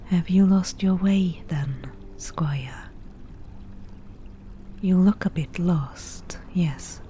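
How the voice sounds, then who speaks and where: A woman playing a wry, teasing forest witch in a softly whispered ASMR roleplay, with dry, unhurried delivery. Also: on the low side, faint music behind. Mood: curious, mocking.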